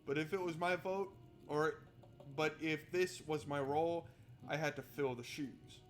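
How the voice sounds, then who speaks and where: Higher-voiced man commentating over game music. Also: steady tone, a little slow. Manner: informal, sweary, talks to himself. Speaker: game streamer. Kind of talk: casual let's-play commentary, reading game text aloud.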